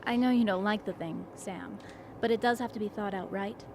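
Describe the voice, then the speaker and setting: A woman's voice: medium pitch, moderate pace, lightly acted. A woman playing a composed, wry expedition team leader in a radio play. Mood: conciliatory. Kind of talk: dramatic radio play acting. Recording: clear steady background noise.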